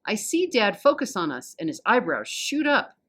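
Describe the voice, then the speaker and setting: Expressive American woman reading aloud. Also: mid-range voice, normal speed. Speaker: volunteer storybook reader. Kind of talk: expressive read-aloud narration of a children's novel.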